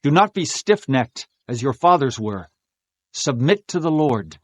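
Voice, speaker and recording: Male actor as pious biblical king, earnest, declamatory, pleading, medium pitch, dry sound, dramatized audio scripture. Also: very animated, a little slow, dry recording. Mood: urgent exhortation. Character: earnest, pious king of Judah exhorting his people.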